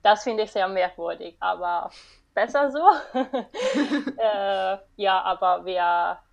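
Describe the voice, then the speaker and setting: A woman's voice: mid-range voice, slow pace, creaky, lo-fi sound. A woman in her late twenties from Italy, speaking with an Italian accent as an interview guest, hesitant with many fillers and frequent laughs. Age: late 20s. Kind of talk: casual interview chat.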